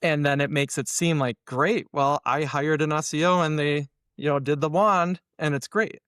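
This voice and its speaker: A man's voice: fairly high pitch, moderate pace, clear, resonant tone, no echo, clean studio sound. A man speaking as an interview guest, casually and with strong opinions, acting out imagined exchanges as he explains. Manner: animated explainer who acts out hypothetical dialogue. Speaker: interview guest, SEO consultant.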